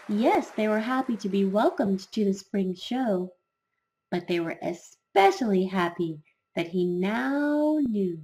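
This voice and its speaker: A woman reading a children's fairy tale in an expressive, dramatic storytelling style with drawn-out emphasis. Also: mid-range voice, poor audio.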